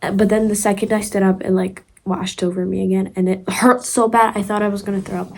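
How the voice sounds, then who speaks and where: A woman's voice: mid-range voice, level tone, brisk, gravelly. A young woman with a Ukrainian accent talks straight to her viewers in a loose storytime vlog. She rambles and restarts often, with lots of "um" and "like" and giggly asides. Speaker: vlogger.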